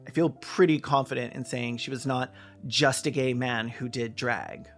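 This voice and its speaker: A man with a mid-range voice and a good deal of vocal fry delivers a scripted video essay. He is emphatic and opinionated, slipping into casual, sardonic phrasing, with music faintly behind him. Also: medium pitch, fairly even intonation, normal speed, fairly bright tone. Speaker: video essayist and podcast host.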